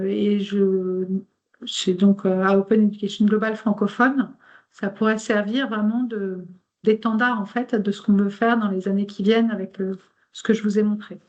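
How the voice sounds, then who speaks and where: A woman whose first language is German, speaking French in an online meeting. She gives an informal presentation, partly reads a text aloud, and pauses often with fillers and restarts. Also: medium pitch, highs cut off. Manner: hesitant, many fillers and restarts.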